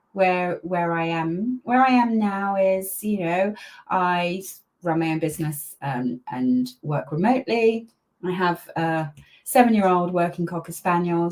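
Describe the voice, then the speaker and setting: An older woman, a grandmother who grew up in Malaysia, talks about her life in a low, easy voice. She chats casually with plenty of fillers, laughing now and then, like a guest on a video call. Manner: chatty, warm laughs, many fillers. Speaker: parenting coach, interview guest.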